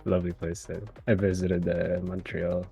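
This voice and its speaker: A man's voice: sits low, monotone, moderate pace, creaky, warm tone, breathy edge, soft background music. A man from Vancouver, chatting casually and a little hesitantly as an interviewed driver. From Vancouver, British Columbia, Canada. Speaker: sim racing driver being interviewed. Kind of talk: casual chat.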